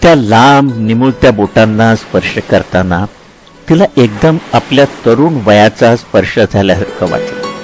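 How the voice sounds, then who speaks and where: A man narrating a literary story in a dramatic, expressive way with measured phrasing. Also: on the low side, faint music behind.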